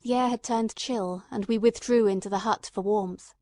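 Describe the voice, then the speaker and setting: A woman's voice: mid-range voice, steady tone, normal speed, dark tone, dry recording. A woman reading scripted literary narration as an audiobook narrator.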